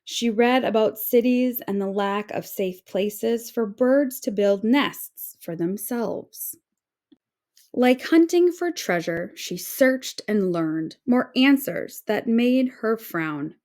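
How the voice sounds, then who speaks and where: A woman reading a children's picture book aloud in an expressive, carefully phrased storytelling way. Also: a little high, takes it slowly.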